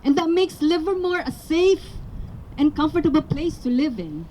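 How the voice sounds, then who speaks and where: Filipina woman, native Tagalog speaker, high voice, earnest rally speech building to emphatic appeals, faint background noise. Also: very animated, normal speed, mellow. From Philippines. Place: outdoor rally.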